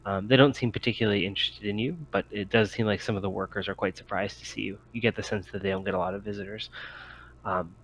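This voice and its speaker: A man narrating a tabletop game as game master, describing scenes in a halting way. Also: on the low side, fairly even intonation, a bit bright, slight background noise, poor audio.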